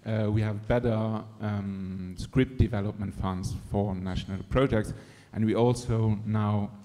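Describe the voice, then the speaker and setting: Mellow low-voiced man in a hall. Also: fairly even intonation, unhurried. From Luxembourg. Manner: thoughtful, many fillers. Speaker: audience member, screenwriter-director. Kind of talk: question from the floor. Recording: hall reverb.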